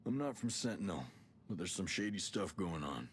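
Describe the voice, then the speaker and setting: Special forces soldier, deep, steady, low-key, businesslike, game cutscene. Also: male voice, moderate pace, slight background noise. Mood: steady, direct. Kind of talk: video game cutscene acting.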